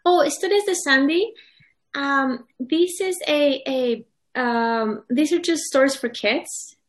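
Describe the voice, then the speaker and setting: Echoey, high-voiced woman. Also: slow. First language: Spanish. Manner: hesitant, many restarts and fillers, earnest. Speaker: guest, publisher and author. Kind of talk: casual interview answers. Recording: echoey room.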